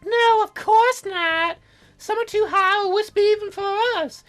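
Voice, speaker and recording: A man's voice: very high-pitched, a little slow, a bit bright, soft background music, pitched above the performer's own voice. A man voicing a perky, cheeky teenage cloud fairy girl with a sassy, casual, boastful way of talking, for a video game. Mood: matter-of-fact.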